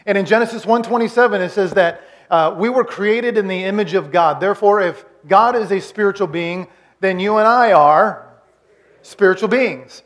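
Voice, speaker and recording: A pastor in his forties with a notably high voice delivers a relaxed, conversational sermon. He often stumbles and repeats words as he recaps earlier teaching. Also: moderate pace. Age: 40s.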